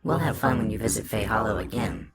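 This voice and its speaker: A man's voice: fairly low pitch, expressive, brisk, over music, pitched above the performer's own voice. A man voicing a huge, politely menacing monster with a childlike, sing-song rhyming way of talking, for a horror story. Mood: courteous, sing-song. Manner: sing-song rhyming, speaks of itself in third person.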